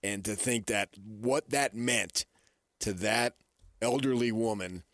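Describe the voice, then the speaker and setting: A man's voice: fairly low pitch, takes it slowly, a bit bright, dry recording. A man co-hosting a sports talk radio show in a casual, emphatic way, repeating his points and asking rhetorical questions on a close studio mic. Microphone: close studio broadcast mic.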